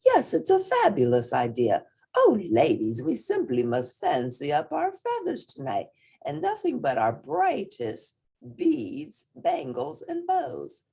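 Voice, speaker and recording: A woman's voice: sits high, huge pitch swings, takes it slowly, gravelly, warm tone, slightly rough, voice pushed up, full character voice. Woman putting on a vain, swooping storybook hen. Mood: gushing, vain. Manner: theatrical, emphatic. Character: vain, indignant farm hens, gushing and gossipy.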